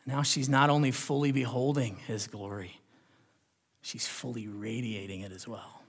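A man delivering a sermon as a pastor, with a dramatic delivery that moves between hushed and emphatic. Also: medium pitch, fairly even intonation, moderate pace, slightly rough. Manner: dramatic, swinging from hushed near-whispers to loud emphatic declarations; rhetorical questions and check-ins with listeners. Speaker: pastor preaching. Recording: long hall reverb.

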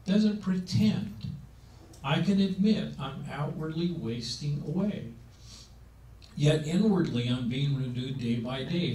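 A man's voice: mid-range voice, a little mellow. A seventy-year-old man preaches on aging with emphatic, conversational delivery and frequent rhetorical questions, talking into a handheld mic. His voice is recorded somewhat distant from the mic in a roomy meeting hall. A faint background hiss runs underneath. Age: 70s. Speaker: speaker on aging. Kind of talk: sermon-style talk. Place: meeting room.

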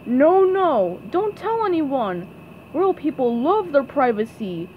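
A woman's voice: high voice, very animated, slow, narrow, tinny audio, a little hiss or hum, full character voice. A woman voicing a snobbish, gloomy male squid from a cartoon storybook, haughty and easily exasperated. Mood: scornful, alarmed. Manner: haughty, condescending.

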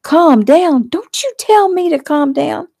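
A woman's voice: lowish voice, normal speed, creaky, dark tone, no room sound, studio quality. A woman narrator voicing a demanding, clingy young fiancée in an audiobook, theatrical, pleading and indignant. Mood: shrieking, furious.

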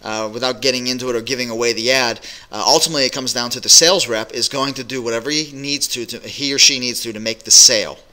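A middle-aged man with a very bright, sharp voice gives business advice to his webcam. He talks directly and energetically, with frequent uhs and ums. He sits at a desk in a small home office. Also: medium pitch, fast talker, hardly any pauses. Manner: energetic, direct, many fillers. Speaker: business coach.

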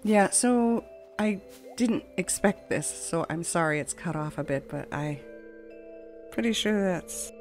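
Low-voiced woman chatting over game music. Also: measured pace. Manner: chatty, rambling, conversational. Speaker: gaming video host. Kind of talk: casual let's play commentary. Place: at home, window open a crack, fan running.

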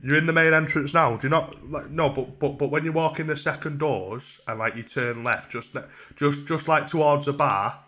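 A man in his twenties with a Northern English accent, talking casually and mock-earnestly as a deadpan, hesitant prank caller at a desk microphone. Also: on the high side, moderate pace, hardly any pauses. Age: 20s. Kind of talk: casual prank phone call. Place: small room at home. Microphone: desk microphone on a stand.

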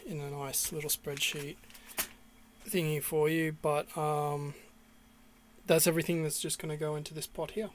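An Australian man talking casually through a cooking walkthrough inside a small van, with many ums and restarts. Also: a little high. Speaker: vlogger.

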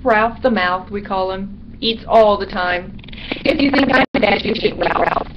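A woman's voice: medium pitch, a little slow, lightly acted. A woman voicing a small, chatty male bat from an animal storybook, with a theatrical, rambling, comically aggrieved delivery. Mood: gossipy, disgusted. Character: chatty, dramatic, self-pitying little male bat. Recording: dull recording with background noise.

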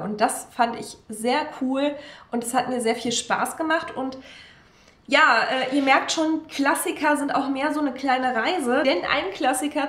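High-voiced young woman chatting about books. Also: fairly bright tone, roomy sound. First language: German. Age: young adult. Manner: chatty and enthusiastic, frequent ähm fillers. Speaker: book vlogger. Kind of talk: casual book talk to camera. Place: room with bookshelves behind her. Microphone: camera at desk distance.

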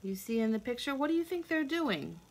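A woman in her thirties reading a picture book aloud for children in a deliberate, sing-song, teacherly way, with asides to the listeners. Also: on the high side, sing-song. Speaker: read-along storyteller for children. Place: indoor rooms at home.

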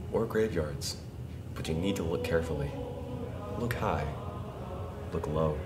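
A young man playing a solemn exorcist priest who gives deliberate instructions. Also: deep voice, recorded from a distance. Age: young adult. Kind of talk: mock instructional narration.

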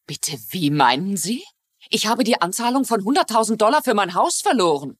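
An actress playing a sharp-tongued, cheated home buyer in a TV crime drama, sardonic and challenging. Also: female voice, medium pitch, big pitch swings, normal speed, slightly rough, dry recording. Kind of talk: German-dubbed television crime drama.

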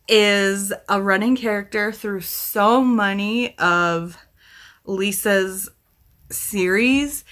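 Bright-voiced woman, chatty. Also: medium pitch. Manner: rambling, thinking aloud, self-correcting. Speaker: book vlogger. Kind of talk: casual chatty book review to camera. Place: small room at home, indoors.

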